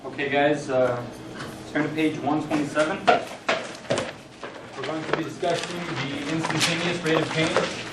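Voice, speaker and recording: A man's voice: a little high, steady tone, measured pace, pauses often, close to an everyday voice. Man playing a math teacher, echoey classroom, heavy background noise, plain lecturing, amateur role-play. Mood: matter-of-fact. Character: male math teacher lecturing and keeping order. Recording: very noisy, distant, echoey classroom.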